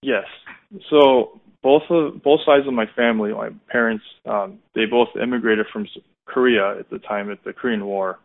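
A man in his thirties with an American accent and a fairly low voice, heard over a thin, phone-like line. He shares a personal testimony conversationally, pausing to reflect as he goes. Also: steady tone. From United States, California and Indiana. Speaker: interview guest giving testimony. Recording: muffled, narrow phone-like line.

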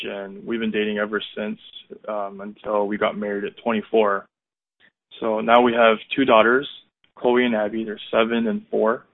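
An American man in his thirties telling his personal testimony as an interview guest, in a halting, reflective, conversational way. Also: fairly low pitch. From United States, California and Indiana. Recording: muffled, narrow phone-like line.

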